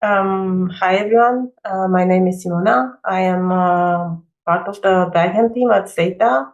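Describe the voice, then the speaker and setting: A woman's voice: medium pitch, a little slow, dull audio. Hesitant woman, echoey room. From Romania. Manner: hesitant, many fillers. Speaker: backend engineer. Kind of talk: casual video call discussion. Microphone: video call.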